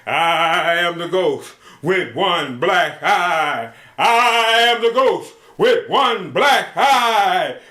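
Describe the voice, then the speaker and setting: Guy doing a spooky ghost, slooow drawn-out moan, roomy sound. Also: sits high, animated, sharp tone, exaggerated voice. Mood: eerie. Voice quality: drawn-out, eerie.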